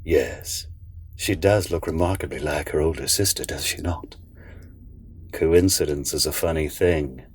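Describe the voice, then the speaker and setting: A man playing a cryptic, sinister figure in an audio drama, with a measured, knowing, theatrical delivery. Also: very deep voice, slightly rough, full character voice.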